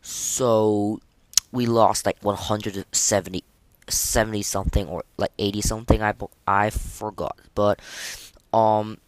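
A man hosting a casual, unscripted gaming podcast, speaking haltingly and often repeating and restarting his phrases. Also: fairly low pitch, steady tone, no echo. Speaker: podcast host.

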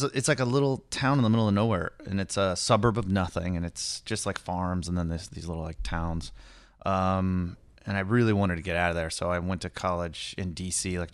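A man's voice: deep voice, smooth, dry recording. An American man you'd hear as the guest on a podcast, spinning wry, stop-and-start anecdotes in a clean voice, close and dry on the mic. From Northern California, United States. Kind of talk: casual anecdotal storytelling.

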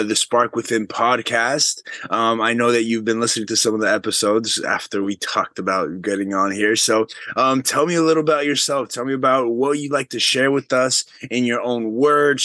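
An earnest man hosts a spiritual interview podcast, warmly prompting his guest and murmuring agreement as she talks. His voice sits at an ordinary pitch and sounds dry and close. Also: no room sound. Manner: earnest, affirming with soft "mm" cues. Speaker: podcast host.

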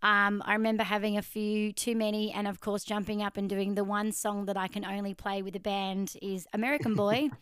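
Woman host, chatty storyteller, smooth voice, dry booth sound. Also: medium pitch, flat delivery, a little fast, few pauses, a bit bright, no echo. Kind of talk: casual interview chat.

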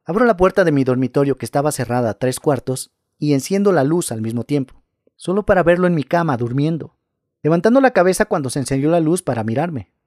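A man reading scary first-person stories aloud in a calm, measured narration. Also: fairly high pitch, dry recording, clean studio sound.

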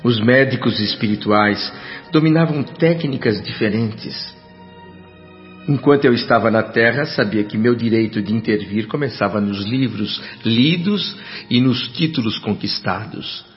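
An actor playing a humble, earnest doctor in the spirit world, speaking formally and courteously in a radio drama. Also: male voice, fairly low pitch, unhurried, lightly acted. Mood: humble, yearning. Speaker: lead actor and first-person narrator. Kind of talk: radio drama acting and reflective narration. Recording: dull lo-fi radio drama with music. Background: music.

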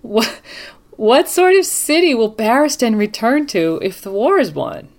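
A woman co-hosting a podcast in a measured, composed and deliberate way, as if presenting prepared material. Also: on the high side, big pitch swings. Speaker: podcast co-host.

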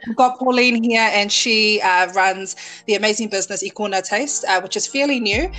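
A woman's voice: mid-range voice, moderate pace, fairly bright tone, low-quality recording. A woman from New Zealand hosting a podcast, upbeat and enthusiastic, full of effusive praise for her guest. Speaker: podcast host. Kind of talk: casual interview chat.

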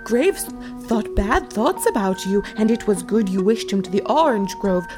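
A woman's voice: medium pitch, animated, moderate pace, natural voice. Woman doing a nervous captive sucking up to an evil kid, careful, music behind. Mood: anxious, appeasing. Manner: careful, anxiously appeasing. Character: fearful woman captive flattering a dangerous child. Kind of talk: audio drama acting.

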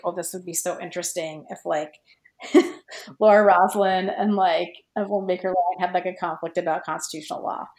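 A woman's voice: on the low side, a little mellow. Hesitant woman guest in an echoey room. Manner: hesitant, searching for words, many "like"s. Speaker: podcast guest, fan fiction writer. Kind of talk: casual, reflective chat about own writing. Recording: roomy, echoey sound.

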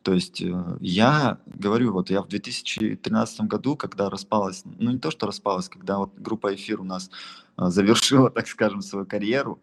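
A man's voice: fairly low pitch, fairly quick, gravelly. A young man from Russia giving casual, rambling, slangy answers as an interview guest, often restarting his sentences. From Russia, Altai region. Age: young adult. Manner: rambling, slangy, frequent false starts. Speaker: interview guest, beatmaker and music producer.

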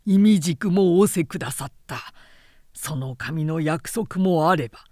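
A woman's voice: low voice, expressive, moderate pace, dark tone, dry recording, clean studio sound. A woman voicing a loyal, proud male general of ancient China in an audiobook, with solemn, archaic formal speech. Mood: earnest, respectful. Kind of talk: audiobook character acting.

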